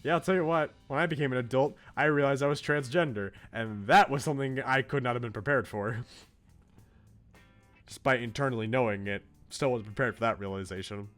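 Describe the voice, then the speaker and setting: A man streaming a game, reading a visual novel aloud with casual, animated, joking commentary. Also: medium pitch, fairly quick, faint music behind.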